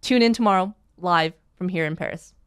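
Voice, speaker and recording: A woman's voice: on the low side, brisk, fairly bright tone, clean tone. A Canadian woman hosting a sports show, chatting casually in an upbeat, enthusiastic way. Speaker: host of a daily sports show. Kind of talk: casual panel chat.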